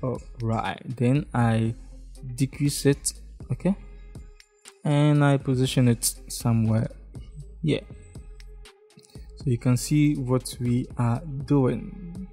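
A man's voice: medium pitch, takes it slowly, pauses often, soft background music. A young man from Ghana with a Ghanaian English accent, talking step by step through a screen-recorded design tutorial and often checking in with "okay?". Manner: relaxed, step-by-step, frequent "okay?" check-ins. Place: indoor room, face in webcam corner.

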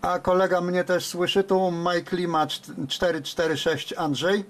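A male radio operator with a fairly high-pitched voice. He alternates between formal call-outs and casual remarks, recorded in a room at his radio desk. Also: little pitch movement, unhurried. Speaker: amateur radio operator, host.

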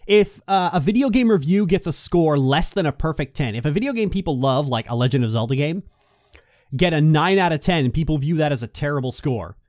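A man with a slightly higher voice talks in a loud, clear, direct way, often quick. His sound is dry and close to the microphone, with no room behind it. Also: animated, no room sound. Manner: fast-talking. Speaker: video essay host. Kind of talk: casual podcast discussion. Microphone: close studio microphone.

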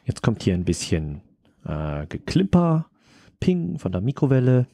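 A man presenting a casual software tutorial, thinking aloud as he works. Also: mid-range voice, very animated, unhurried, vocal fry, no echo, studio quality. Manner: thinking aloud while working.

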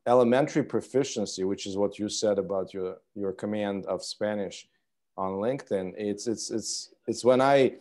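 A man in his thirties who is a non-native English speaker, hosting a conversational interview over a video call in a halting, rephrasing way. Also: on the low side, a little mellow. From outside Canada. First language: not English. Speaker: interview host.

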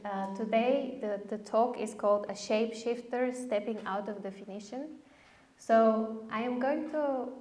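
A woman's voice: medium pitch, a little mellow. Enthusiastic woman giving a conference talk. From Bulgaria. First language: Bulgarian. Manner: enthusiastic, chatty, asks the audience with "right?" and "okay?". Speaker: speaker. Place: large darkened lecture hall. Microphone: podium mic on a stand. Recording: roomy hall sound.

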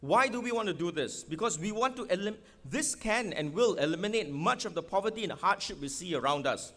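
A man's voice: high-pitched, animated, brisk, fairly bright tone. A middle-aged man with a Singaporean accent gives an emphatic election rally speech at a podium microphone, firing rhetorical questions at the crowd. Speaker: political candidate. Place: outdoor stadium rally stage.

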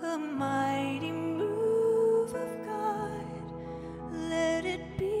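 High-voiced young woman singing worship. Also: faint music behind. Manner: sustained, drawn-out singing. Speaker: worship singer. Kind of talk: worship song. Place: large church stage. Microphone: handheld microphone. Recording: live band loud behind.

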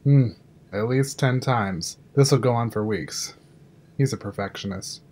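A man's voice: medium pitch, measured pace, a little mellow, lightly acted. A man playing a wry control room technician in an audio drama.

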